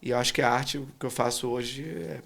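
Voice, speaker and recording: A man from Salvador with a Bahian accent, a guest artist speaking thoughtfully and softly in an interview, often pausing with fillers. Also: mid-range voice, steady tone, creaky. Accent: Bahian Portuguese. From Salvador, Bahia, Brazil. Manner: soft-spoken, thoughtful, trails off with fillers. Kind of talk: reflective interview conversation.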